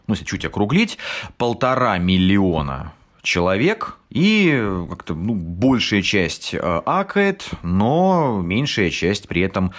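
A middle-aged man with a Tula Russian accent, his voice sitting very low but swinging widely as he talks. He explains things like a tour guide, filling pauses with drawn-out "uh" sounds and acting out little dialogues. Relaxed, conversational delivery. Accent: Tula Russian, akanye (non-stressed O said as A). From Tula region, Russia. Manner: hesitant with frequent "э" fillers, storytelling, acts out dialogues. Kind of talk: casual explanatory chat.

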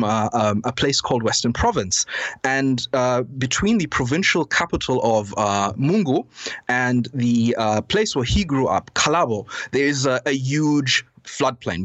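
A Zambian-accented man at a middle pitch, talking through his analysis with earnest, measured conviction. His voice is close and dry, with no room echo. He builds steadily toward emphatic points. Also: a bit bright, dull audio. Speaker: guest commentator. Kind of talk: conversational analysis on a podcast.

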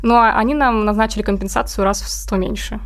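A woman's voice: medium pitch, steady tone, brisk. Informal woman explaining in casual conversation. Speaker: interview guest, comic artist.

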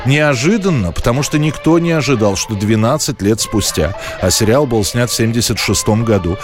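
A man reading scripted documentary narration as the narrator of a history programme. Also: mid-range voice. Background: faint background music.

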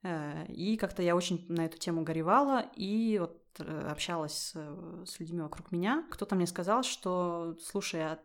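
A young woman from Russia with a fairly low voice chats in a relaxed, thoughtful way, stopping often to search for words and breaking into light laughter. She speaks as a podcast guest in casual conversation. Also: normal speed, hardly any pauses. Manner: thoughtful, many fillers and laughs.

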